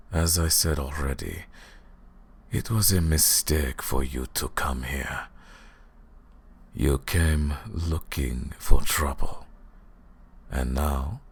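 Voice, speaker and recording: A man voicing a cruel, mocking vampire lord in an ASMR roleplay, speaking slowly and deliberately with a gravelly, breathy voice that drops into whispers. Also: very deep voice, exaggerated voice. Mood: cold, dismissive. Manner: slow, deliberate, taunting, dropping into whispers.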